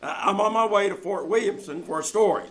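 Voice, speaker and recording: An excitable newspaperman from old-time radio drama talks in a very high male voice, acted by a man. He is easily flustered and stumbles over words in a theatrical 1940s manner. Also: quick pace. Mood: eager. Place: live community theater stage performance.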